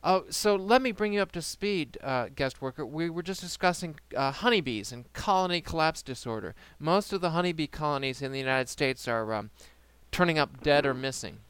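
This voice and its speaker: A man's voice: on the high side, lively intonation, a little fast, hardly any pauses, a bit bright, clear, resonant tone. A man hosting a casual podcast chat who keeps up dry, teasing banter. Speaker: podcast host.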